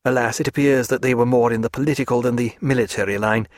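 A male narrator voicing a reserved, earnest naturalist who speaks in a measured, formal way. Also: medium pitch, steady tone, no echo, studio quality, close to an everyday voice.

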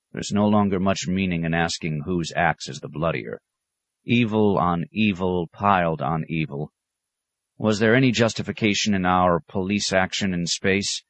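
Aging abbot, acted by a male reader: low-voiced, weary, sardonic, gravely rhetorical, for an audiobook. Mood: bitter, questioning.

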